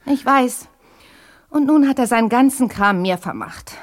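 An actress playing an overwhelmed young granddaughter in a German audio drama, talking in a frank, chatty, slightly flustered way. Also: female voice, fairly high pitch, lively intonation, normal speed, close to an everyday voice. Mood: flustered, grateful. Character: overwhelmed grieving young granddaughter clearing out an inherited house.

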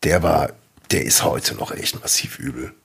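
A man's voice: extremely low pitch, moderate pace, creaky, fairly bright tone, breathy edge. A man in his fifties, co-hosting a podcast in casual chat, telling rambling stories and correcting himself as he goes. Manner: rambling, self-correcting storyteller. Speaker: podcast co-host.

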